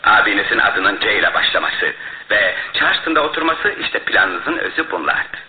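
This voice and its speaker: An actor in a radio play as a shrewd, self-assured male detective who explains his case in a theatrical, deliberate way. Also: on the low side, very animated. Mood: accusing, methodical. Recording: muffled, roomy radio drama recording.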